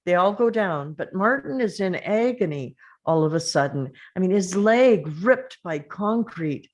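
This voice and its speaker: A woman narrating the action lines of a screenplay at a table read, steady and measured. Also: fairly low pitch.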